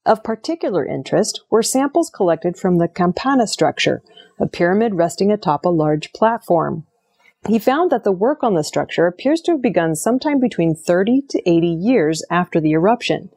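A woman's voice: lowish voice, very animated, moderate pace, darkish tone. A woman reading scripted news narration as a news presenter. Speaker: news reader.

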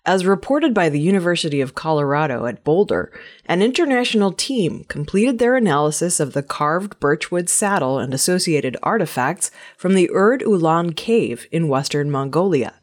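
A woman news presenter reading scripted news stories in a measured, clearly enunciated way. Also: low-pitched.

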